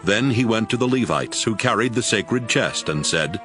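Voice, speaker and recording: Low-pitched male scripture narrator. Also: animated, normal speed, fairly bright tone, faint music behind.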